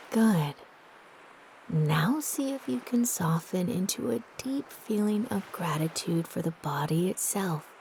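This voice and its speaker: A woman narrating a guided meditation in a soft, breathy, gentle and soothing manner with long pauses. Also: mid-range voice, a little hiss or hum.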